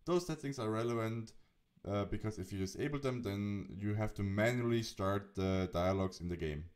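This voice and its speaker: A man presenting a software tutorial, narrating the setup step by step. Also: fairly low pitch, moderate pace.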